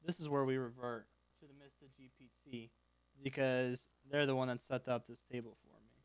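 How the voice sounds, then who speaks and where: A man's voice: medium pitch, little pitch movement, a little fast, smooth, no room sound, low-quality recording. A man live-streaming as he writes code, thinking aloud in short fragments, his voice starting to go. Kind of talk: live-stream coding commentary, muttering to himself.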